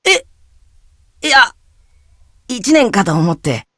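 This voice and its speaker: A man's voice: very high voice, sing-song, a little slow, sharp tone, no room sound, studio quality, exaggerated voice. A man voicing a gullible, melodramatic teenage schoolboy as the comic sidekick in a Japanese visual novel. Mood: nervous backpedalling. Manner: melodramatic, swinging from shrieks to mournful murmurs.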